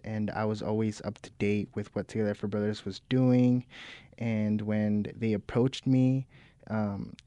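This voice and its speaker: Man, 20s, earnest, measured, low voice, mellow tone, dry recording. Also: no room sound. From Mexico. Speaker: program coordinator guest. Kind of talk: radio interview.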